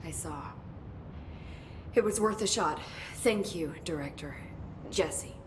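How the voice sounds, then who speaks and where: A low, soft-spoken researcher at a secret agency, talks thoughtfully with the odd sigh. Her dialogue comes from a video game cutscene with faint ambience behind it. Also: slow, background noise. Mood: earnest. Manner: soft, hesitant, thoughtful with sighs. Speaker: game character.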